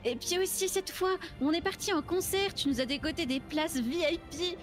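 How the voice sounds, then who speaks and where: Woman as high-voiced, supportive friend. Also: moderate pace, soft background music. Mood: encouraging. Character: supportive, gentle female friend in film scene. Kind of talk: improvised acted scene in game roleplay.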